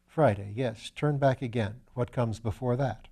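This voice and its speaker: A man voices a gentleman sleuth in a storybook. He is calm and courteous, coaxing answers with measured questions. The tone is dark and relaxed, at a medium pitch. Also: gravelly. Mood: patient, coaxing. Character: calm, courteous gentleman detective, patiently probing.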